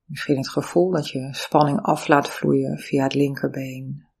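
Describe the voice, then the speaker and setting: A meditation teacher leads a guided session at an unhurried, drawn-out pace. The delivery is calm and measured, with a gravelly texture running under each soothing instruction. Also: mid-range voice.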